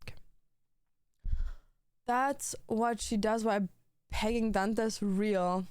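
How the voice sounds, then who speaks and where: A woman's voice: medium pitch, fairly even intonation, slow pace, darkish tone, clean tone, dry recording, studio quality. A young woman streaming, chatting casually, swearing a lot and saying "like" and "bro" constantly. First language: German. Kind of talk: casual chat on a gaming stream.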